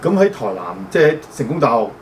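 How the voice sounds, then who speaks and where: Relaxed Hong Kong Cantonese-speaking host. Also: male voice, fairly high pitch, fast. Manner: relaxed, conversational, strings questions with fillers. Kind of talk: casual interview questions. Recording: distant mic with faint background noise.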